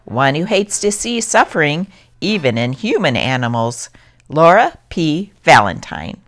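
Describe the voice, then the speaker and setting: A woman narrator giving a scripted reading of old newspaper stories. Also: fairly low pitch.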